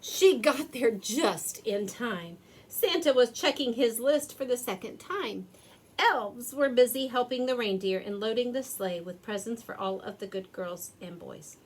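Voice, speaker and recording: A woman reads a children's story aloud in a fairly high voice. Her pitch moves up and down in a very lively way, and she draws out words expressively for young listeners. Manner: expressive, with drawn-out words for effect. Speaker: storybook reader. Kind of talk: animated children's read-aloud narration.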